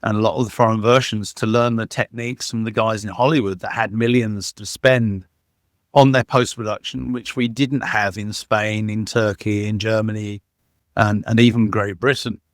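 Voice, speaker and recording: A man's voice: fairly low pitch, fairly even intonation, moderate pace, dry recording, studio quality. A man who works as a sound engineer, talking as an interview guest, telling enthusiastic, rambling stories full of restarts and fillers. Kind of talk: casual interview conversation.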